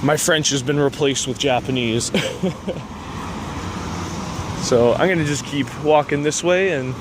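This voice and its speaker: Young man vlogging on a city street, laughs a lot, voice sits a bit high, heavy street noise. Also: a little slow. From Canada. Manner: rambling, laughs often, lots of "like". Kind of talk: casual walking vlog. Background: street traffic.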